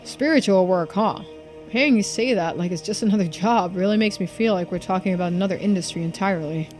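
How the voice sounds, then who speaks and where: A woman's voice: medium pitch, fast, darkish tone, faint music behind, lightly acted. A woman voicing a casual, sceptical police investigator in a video game, informal and a little hesitant. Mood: curious, wry. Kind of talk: video game dialogue read-through.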